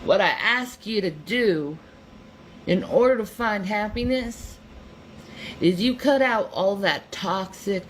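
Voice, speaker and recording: A woman's voice: mid-range voice, takes it slowly, slight background noise. A woman giving an earnest motivational monologue, emphatic and repeating herself.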